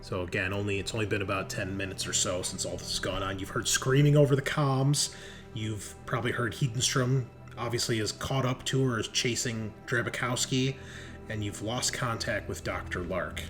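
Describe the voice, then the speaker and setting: A man's voice: on the low side, steady tone, normal speed, music in the background. A man running a tabletop game as game master, casual and easygoing, thinking aloud as he narrates and makes rulings.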